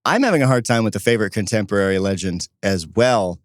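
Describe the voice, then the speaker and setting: A man hosting a podcast, talking in an animated, conversational way. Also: lowish voice, quick pace, dry recording, studio quality. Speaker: podcast co-host. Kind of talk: casual chat and host-read sponsor spots.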